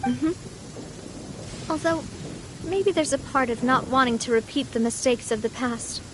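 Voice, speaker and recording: A woman voicing a cheerful, warm young woman who leads a close group in a video game, friendly and reflective, laughing easily. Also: sits high, a little slow, noisy background. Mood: lively. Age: young adult. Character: cheerful young noblewoman, gang leader, warm. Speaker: leader of a group.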